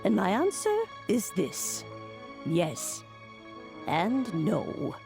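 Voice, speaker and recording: A woman playing a calm, wise elderly granny and master chef in a video game, speaking in a measured, philosophical way. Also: mid-range voice, vocal fry, music in the background, full character voice. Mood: solemn, wise. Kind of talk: video game cutscene acting.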